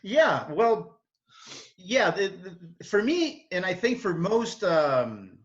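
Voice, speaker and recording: A man's voice: high voice, very animated, takes it slowly. A middle-aged man from Ecuador with a Spanish accent, a native Spanish speaker, answering interview questions in a reflective, explanatory way. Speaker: interview guest, English teacher. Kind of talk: casual interview. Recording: dull, lo-fi call audio.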